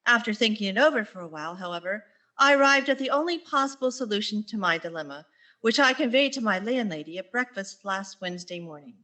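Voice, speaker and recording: A woman's voice: medium pitch, lively intonation, quick pace, sharp tone. A woman playing a wry, put-upon schoolteacher in a radio sitcom, with a dry, wisecracking delivery. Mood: wry narration. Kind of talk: radio sitcom acting and narration.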